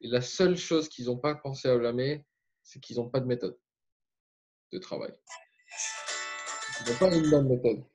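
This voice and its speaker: A man's voice: mid-range voice, normal speed, pauses often, a little mellow, slightly rough, soft background music. A man coaching and interviewing, explaining his points emphatically. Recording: dull muffled audio.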